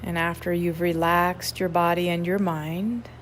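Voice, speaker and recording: A woman guiding a meditation, reading instructions in an unhurried way with long pauses. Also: lowish voice, flat delivery. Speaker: meditation guide at a wellness retreat. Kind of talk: guided meditation, read aloud softly. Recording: clearly noisy ambient background.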